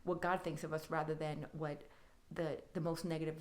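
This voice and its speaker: A woman's voice: sits low, level tone, brisk. A woman guest on a podcast, talking earnestly and thoughtfully about faith and identity, building her points in lists. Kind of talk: reflective faith-based conversation.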